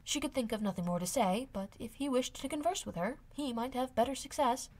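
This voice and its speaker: A woman's voice: a little high, fairly quick, a little mellow. A woman reading a classic novel aloud as scripted audiobook narration. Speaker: amateur audiobook reader.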